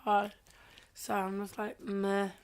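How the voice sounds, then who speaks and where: A woman's voice: medium pitch, completely flat delivery, a little slow. A woman with a mild illness co-hosting a podcast in casual chat, mostly in short, agreeable replies. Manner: brief, agreeing interjections. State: mildly sick. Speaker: podcast co-host.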